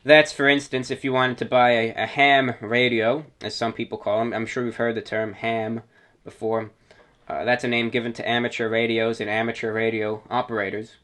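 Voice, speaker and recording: A man's voice: mid-range voice, little pitch movement, moderate pace, a bit bright. Man informally explaining things step by step. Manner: didactic, explains step by step with analogies. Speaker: host of a radio hobby show. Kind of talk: informal explanatory talk to listeners.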